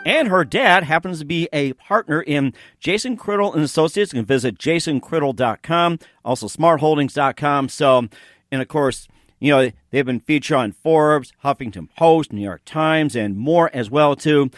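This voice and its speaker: A male show host with a fairly high voice that swings widely in pitch. He announces and interviews in an upbeat, showy, excitable style, recorded close and dry. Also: normal speed, dry recording. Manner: excitable, showy introductions, repeats himself.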